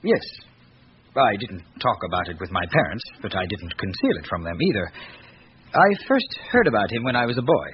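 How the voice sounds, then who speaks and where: An eager young aristocrat talks courteously and earnestly, his pitch swinging freely. It is a radio drama on a dull old recording with faint noise. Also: sing-song, normal speed. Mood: eager, polite. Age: young adult. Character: eager, polite young aristocratic male student.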